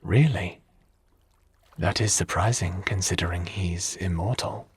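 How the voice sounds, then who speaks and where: A man playing a calm, matter-of-fact advisor in a fantasy audio drama, speaking formally and without much emotion. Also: low voice, breathy edge, full character voice. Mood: composed. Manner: measured, formal, unemotional.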